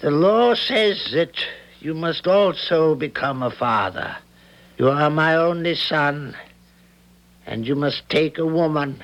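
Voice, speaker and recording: An actor playing a stern, zealous high priest and father who intones the rules of his tribe like ritual. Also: expressive, slow, fairly bright tone, highs cut off. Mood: solemn. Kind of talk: radio drama acting.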